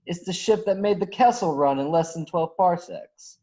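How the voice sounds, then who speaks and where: A man's voice: high voice, moderate pace, warm tone, lightly acted. A man playing a cocky, tough, roguish star pilot of about thirty in an online script reading. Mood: boastful. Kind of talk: script table read. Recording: dull, lo-fi online call.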